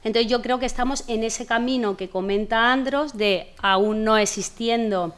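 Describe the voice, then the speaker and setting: A woman from Spain, a television journalist on a panel, speaking in a measured way with some fillers. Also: a little high, clear, resonant tone. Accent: Spanish (Spain). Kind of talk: panel discussion.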